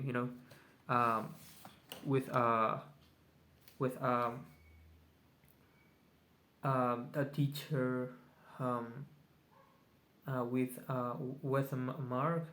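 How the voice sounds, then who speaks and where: A man whose first language is Spanish talks through a casual video journal in English at a crawl. He hesitates constantly, stopping to hunt for the right words. His voice sits at an ordinary middle pitch. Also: a bit bright. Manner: hesitant, searching for English words, many pauses; very slow, halting speech. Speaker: vlogger.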